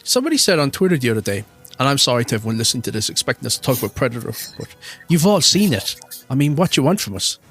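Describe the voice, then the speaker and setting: A man's voice: mid-range voice, big pitch swings, very fast, few pauses, a bit bright, breathy edge, soft background music. A man with a Cork Irish accent, co-hosting a film commentary podcast and telling chatty anecdotes. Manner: chatty anecdotal storytelling, laughs often. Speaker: podcast co-host. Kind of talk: casual film commentary chat.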